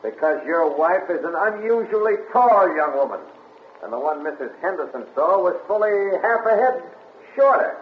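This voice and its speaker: A sly, teasing police captain with a slightly high voice; tinny, echoey old radio. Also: male voice, big pitch swings, normal speed, slight background noise. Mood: probing. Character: wry, probing male police captain. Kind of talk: 1940s radio acting.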